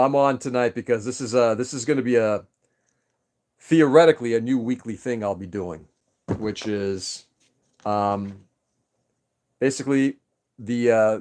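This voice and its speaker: A man's voice: medium pitch, darkish tone. A man whose first language was Greek, chatting casually on a livestream, rambling and greeting viewers by name. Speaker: livestream host.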